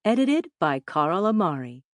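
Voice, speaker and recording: A woman's voice: on the low side, big pitch swings, a little slow, dry recording, studio quality. A woman reading a scripted title announcement as an audiobook announcer.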